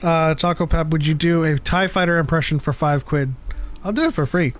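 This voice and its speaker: A man's voice: a little high, brisk. A man co-hosting a casual livestream chat, reading viewer questions, rambling and joking with frequent laughs. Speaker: podcast co-host reading live chat.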